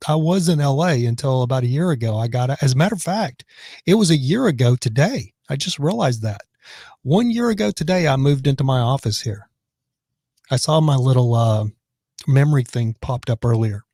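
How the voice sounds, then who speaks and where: A man hosting a live stream from his office, reading viewer comments and rambling in casual chat, his voice raspy from choking on his dinner. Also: on the high side, darkish tone, no echo, clean studio sound. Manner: rambling and chatty, many restarts.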